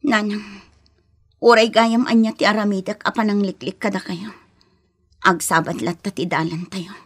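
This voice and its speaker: An actress with an Ilocano accent playing a long-suffering, respectful daughter-in-law in a radio drama. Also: female voice, mid-range voice, fairly even intonation, moderate pace, slightly rough, close to an everyday voice. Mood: reluctant.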